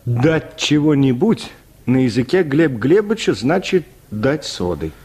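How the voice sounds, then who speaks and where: A male narrator tells a comic short story for radio. His medium-pitched voice is husky and highly animated, setting scenes between the acted lines. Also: a little slow.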